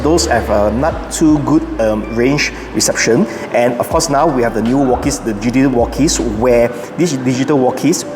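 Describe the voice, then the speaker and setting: Male YouTube host, mid-pitched, casual and explanatory, recorded in a reverberant room over clear background music. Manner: explanatory, demonstrating step by step. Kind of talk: casual unboxing and setup guide. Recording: echoey room with long reverb, music behind.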